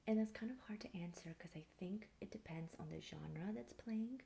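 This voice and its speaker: A woman in her mid thirties speaking in a soft, breathy near-whisper, calmly and without hurry, answering personal questions in an ASMR style. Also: mid-range voice. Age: thirty-four. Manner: calm, unhurried. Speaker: ASMR video creator. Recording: faint background hiss.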